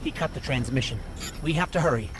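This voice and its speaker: A man voicing a small robotic companion in a video game, earnest, worried and expressive. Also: medium pitch, fast, heavy background noise, close to an everyday voice. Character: earnest, anxious little robotic companion spirit. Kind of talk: video game character dialogue. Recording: loud music over dialogue. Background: loud music.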